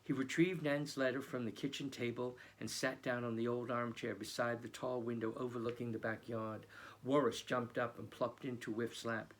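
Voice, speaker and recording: Man, author reading aloud, storytelling, expressive, mid-range pitch. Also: moderate pace, slight background noise, low-quality recording. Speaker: children's book author. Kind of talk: reading his own novel aloud.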